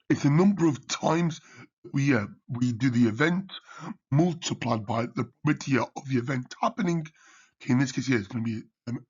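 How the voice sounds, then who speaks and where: A man working through a maths exam question as a tutor, his voice croaky from partly losing it, peppering his talk with "okay" and "yeah". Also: medium pitch, highs cut off. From United Kingdom. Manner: repeats "okay" and "yeah" often. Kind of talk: exam revision walkthrough.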